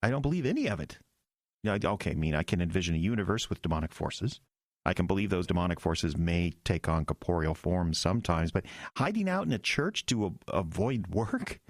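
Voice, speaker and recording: Young pastor, played by a man: very low register, sincere and doubting, hesitant phrasing, radio drama dialogue. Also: sing-song, dry recording, clean studio sound, exaggerated voice. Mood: incredulous. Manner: hesitant, earnest, halting. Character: earnest, skeptical young male church pastor.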